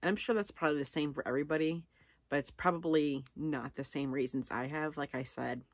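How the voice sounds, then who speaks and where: A woman's voice: low voice, steady tone, brisk, no echo, clean studio sound. A middle-aged woman chatting casually and unscripted to camera, rambling and self-deprecating as she thinks aloud. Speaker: vlogger. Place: living room at home, on a couch.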